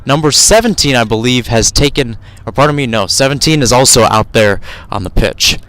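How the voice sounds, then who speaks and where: A man's voice: mid-range voice, expressive, brisk, fairly bright tone, low-quality recording. A man calling live soccer play-by-play for radio, describing the action as it unfolds and often correcting himself mid-phrase. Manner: running description with frequent self-corrections.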